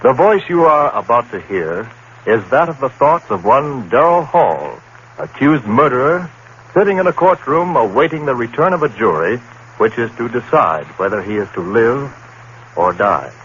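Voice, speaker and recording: A man's voice: medium pitch, sing-song, moderate pace, fairly bright tone. A man announcing a radio drama in a theatrical, ominous 1930s style. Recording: dull old radio broadcast with hiss.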